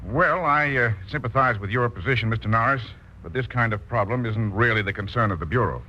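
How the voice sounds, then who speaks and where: An actor playing a brisk, wryly official bureau chief in a 1940s radio drama. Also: male voice, mid-range voice, natural voice. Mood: official, concerned. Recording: muffled vintage radio recording.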